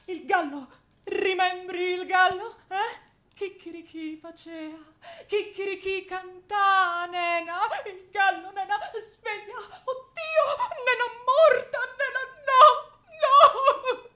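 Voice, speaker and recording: An actress playing a childlike, animal-like, pleading spinster in experimental radio theatre, speaking in halting, broken, repetitive phrases. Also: female voice, very high-pitched, bright, forceful tone, heavily acted. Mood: coaxing, then distraught, pleading. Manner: broken childish grammar, repeating words, halting.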